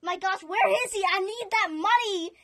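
A woman's voice: extremely high pitch, normal speed, fairly bright tone, voice pushed up. A woman voicing a sneaky, irritated burglar who mutters to himself.

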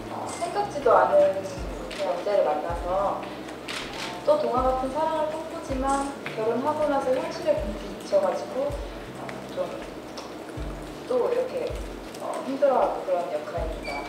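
A woman in her thirties at a press event, answering with many pauses and fillers into a handheld mic. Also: fairly high pitch, lively intonation, noisy background. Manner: hesitant, many fillers, giggly. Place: indoor press conference hall. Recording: echoey hall from far off.

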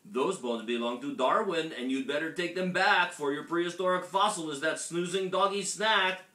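A man's voice: medium pitch, sing-song, moderate pace, fairly bright tone, roomy sound, far from the mic. A man voicing a bossy mother cat in a storybook, hollering orders and scolding. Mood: stern, ordering.

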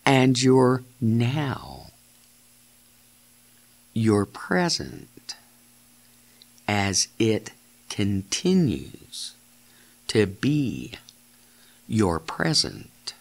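A man leading a guided meditation in deliberate, halting phrases, giving gentle step-by-step instructions as a narrator of guided imagery. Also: fairly low pitch, very animated, gravelly.

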